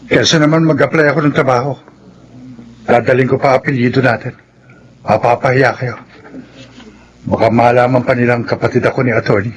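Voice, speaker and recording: An actor playing a bitter, confrontational ex-convict elder brother in a Filipino radio drama. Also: male voice, mid-range voice, steady tone, a little fast, pauses often, slightly rough, close to an everyday voice, rough recording. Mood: defiant.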